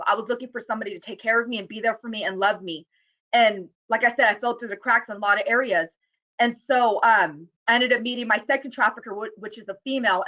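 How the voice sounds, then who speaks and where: An American woman telling her own story candidly and earnestly as an interview guest on a video call. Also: on the high side. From United States. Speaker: guest speaker. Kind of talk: personal testimony in interview. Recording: dull lo-fi video call audio.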